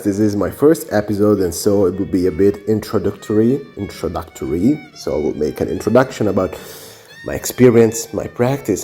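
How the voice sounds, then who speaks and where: A man's voice: sits low, mellow. A man from Italy with an Italian accent, hosting a solo podcast, speaking off the cuff with many fillers and restarts, recorded on a cell phone. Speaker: podcast host. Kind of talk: unscripted solo podcast talk.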